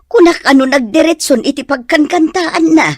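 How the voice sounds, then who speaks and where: A woman's voice: high voice, normal speed, dry recording, studio quality, natural voice. A woman voicing an anxious, fretful mother of a grown son in an audio drama. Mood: alarmed. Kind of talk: Ilocano audio drama acting.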